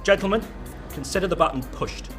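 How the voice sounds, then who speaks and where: A man playing a brisk, confident military general. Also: high-pitched, expressive. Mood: assured. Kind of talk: radio drama acting. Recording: loud music over the voice.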